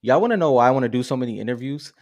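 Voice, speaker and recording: A man's voice: mid-range voice, lively intonation, rapid-fire, a little mellow. A man co-hosting a live podcast with laid-back, slangy, colloquial banter.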